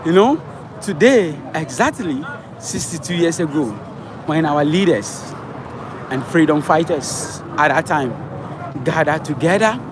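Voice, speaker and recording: A man vlogging fervently from a street march, repeating his rallying lines. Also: fairly high pitch. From Ghana. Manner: fervent, repetitive rallying speech. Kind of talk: outdoor street vlog commentary. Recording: loud crowd noise outdoors. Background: chanting crowd and sirens.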